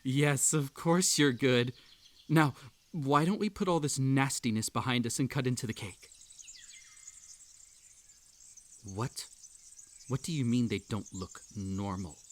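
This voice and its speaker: A man playing an obsessive, unhinged magical hare hosting a tea party in a roleplay audio, with a theatrical, halting delivery that swings between sweet coaxing and menace. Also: mid-range voice, close to an everyday voice. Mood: feigned patience. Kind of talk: roleplay audio acting, intimate second-person address.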